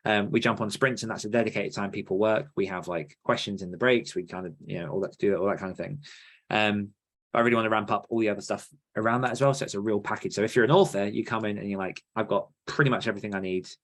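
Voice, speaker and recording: A man's voice: on the low side, quick pace, a bit bright. A man co-hosting a podcast in a casual, informal chat style, thinking through plans as they talk. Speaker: podcast co-host.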